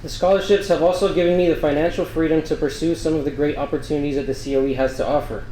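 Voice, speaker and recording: A man's voice: on the high side, fast talker, background noise. A young man from Miami, Florida giving an earnest, grateful prepared speech as a scholarship student at a breakfast event. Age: young adult. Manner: earnest, grateful, steady delivery. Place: large banquet room. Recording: echoey hall, faint ambience.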